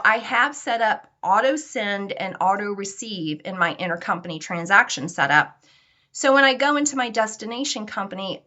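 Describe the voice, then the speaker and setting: A woman instructor walking through software step by step in an informal, conversational and instructive way. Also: mid-range voice.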